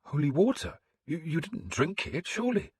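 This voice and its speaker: Male narrator voicing a nervous storybook priest, high-pitched and slow, husky edge, dry close sound. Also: very animated, a bit bright, no room sound, full character voice. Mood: scandalized. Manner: slow, hesitant, lifted and pleading. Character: fussy, faint-hearted male village priest.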